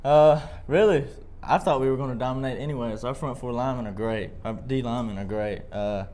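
A teenage high school football player giving short, polite answers about the game. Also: male voice, brisk, a little mellow, slight background noise. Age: teens. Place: school clubhouse room.

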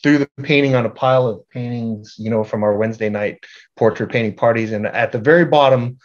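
A middle-aged man giving an informal slide presentation as a group organizer, halting and repeating words in a self-deprecating way. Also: medium pitch. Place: indoor room at home, on a video call. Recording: dull, lo-fi video call audio.

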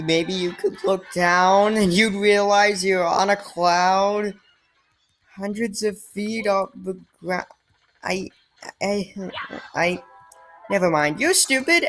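A woman's voice: mid-range voice, fairly bright tone. Sarcastic woman commenting on gameplay. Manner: sarcastic, reads dialogue aloud. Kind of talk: casual let's play commentary.

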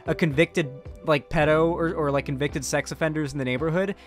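A man's voice: on the high side, fairly even intonation, a little fast, soft background music. An American man in his twenties from Florida giving casual, opinionated commentary into a close microphone, conversational and blunt. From central West Florida, American South. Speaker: political commentary streamer. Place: small home streaming room, indoors. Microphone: close microphone on boom arm, headphones on.

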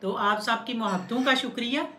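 A woman's voice: fairly high pitch, fairly quick, sharp tone, a little hiss or hum. A middle-aged woman chatting casually as host of a family cooking vlog. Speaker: cooking channel host, mother. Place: home kitchen and dining room. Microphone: handheld phone at some distance. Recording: echoey room, clinking dishes and cutlery.

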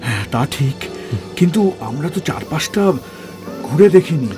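Guy playing a bossy curious boss man in an audio drama, high voice, swoops around a lot. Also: normal speed, faint music behind, close to an everyday voice. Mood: musing, brisk. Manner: animated, commanding, colloquial. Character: bossy, curious man in charge, exploring a ruin. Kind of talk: Bengali audio story acting.

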